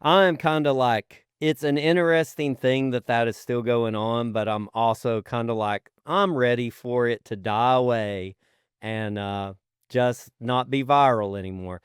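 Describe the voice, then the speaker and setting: A man talks casually as the co-host of a podcast. His voice is clean and resonant at an average male pitch, with an earnest, informal manner. The sound is dry, with no room around it. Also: measured pace, smooth, no room sound. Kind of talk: casual chat.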